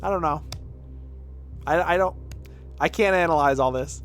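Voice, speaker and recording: A man doing casual, sweary video game commentary as a streamer in an animated way. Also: fairly high pitch, normal speed, soft background music.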